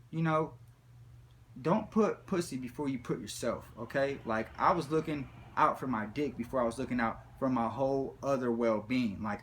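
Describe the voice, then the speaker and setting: Man telling crude stories over background noise. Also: mid-range voice. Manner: rambling, slangy, animated retelling. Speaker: storyteller. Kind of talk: comic casual storytelling, profane. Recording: background noise, room ambience.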